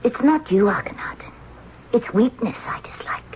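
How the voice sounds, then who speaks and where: An actress playing a strong-willed, frank young princess in an old-time radio drama. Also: female voice, on the high side, expressive, normal speed, whispered, close to an everyday voice. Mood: soothing, frank. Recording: muffled radio recording with clear noise.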